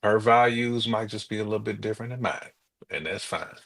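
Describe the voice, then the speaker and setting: A man's voice: on the low side, fairly quick, vocal fry, a bit bright. A man co-hosting a podcast in casual conversation, reasoning aloud and often repeating words as he goes. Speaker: podcast co-host.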